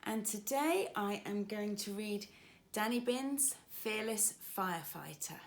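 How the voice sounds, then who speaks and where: A woman children's author reading her storybook aloud with expressive, dramatic emphasis for young listeners. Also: medium pitch, slow, a little hiss or hum.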